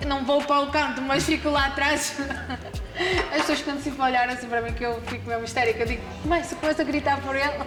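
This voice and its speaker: A woman's voice: high voice, fairly even intonation, fast talker, over music. A young woman from Porto with a northern Portuguese accent, chatting in an interview with frequent giggles. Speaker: interviewee, fighter's wife.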